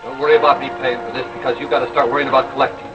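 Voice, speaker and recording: An actor playing a jaded, defiant spaceship pilot in an old-time radio drama, blunt and sardonic. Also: male voice, mid-range voice, flat delivery, racing, over music, close to an everyday voice. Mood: bitter, defiant. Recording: distant vintage radio recording, droning background.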